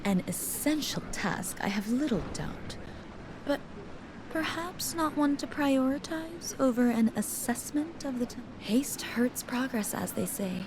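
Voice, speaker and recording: A woman's voice: high voice, expressive, noisy background. An actress playing a composed, stern, philosophical female merchant in a fantasy game, speaking formally. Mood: composed, advising. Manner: formal, measured, sententious. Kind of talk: video game cutscene acting.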